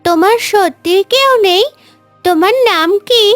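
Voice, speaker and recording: A woman voicing a kind, curious little girl in an animated story, sweet and pleading. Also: very high-pitched, measured pace, faint music behind, exaggerated voice. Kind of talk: Bengali animated story voice acting.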